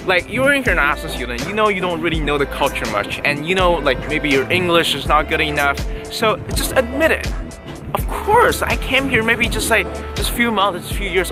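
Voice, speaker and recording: Upbeat young man with a Chinese accent. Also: sits high. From China. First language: Mandarin Chinese. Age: young adult. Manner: upbeat, emphatic, repeats words for stress. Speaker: vlogger giving tips to students. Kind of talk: casual advice vlog. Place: outdoors on a city street.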